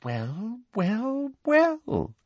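Performer playing cruel middle-aged woman official, storybook villain, high-pitched, breathy, pompous, sugary menace, animated intonation. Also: a little slow, no room sound, highs cut off. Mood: triumphant. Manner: pompous, coaxing then snapping.

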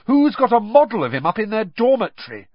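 A man voicing an indignant, bookish teenage girl who talks fast and shrilly when outraged. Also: extremely high pitch, wildly swooping pitch, husky, dry recording, muffled recording, pitched above the performer's own voice, exaggerated voice. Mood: indignant, shrill.

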